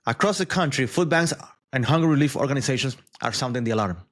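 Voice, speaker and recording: A man talks through the day's news in a loose, conversational way. He adds asides, fillers and repeats words as he goes, in an even, mid-pitched voice. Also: quick pace. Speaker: news commentator. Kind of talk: casual news commentary.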